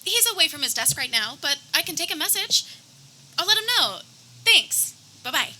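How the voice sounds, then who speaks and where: Woman as a bright, high-voiced eager new hire. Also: big pitch swings, moderate pace, a little hiss or hum, full character voice. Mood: eager, polite. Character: polite, eager new female office associate. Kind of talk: comedic radio theater acting.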